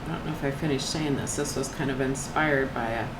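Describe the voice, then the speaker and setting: An older woman with a slightly croaky voice, talking casually and softly while she paints, thinking aloud with trailing restarts and sharing a faith reflection. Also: rumbling low, fairly even intonation, brisk. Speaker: painting channel host. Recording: echoey room with air-conditioner hum.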